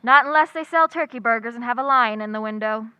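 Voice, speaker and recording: A sharp-tongued single schoolteacher, acted by a woman in an old-time radio comedy. Her voice is high and forceful, with dry wisecracks. The sound is close and slightly dull. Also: fast, clear, resonant tone, no echo. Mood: sardonic. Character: wry, quick-witted single schoolteacher woman, lovelorn and sardonic. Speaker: lead actress.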